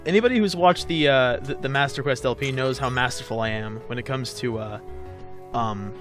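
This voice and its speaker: A man's voice: medium pitch, fairly quick, a bit bright. A man hosting a let's play with casual, jokey, self-deprecating gameplay commentary. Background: game music.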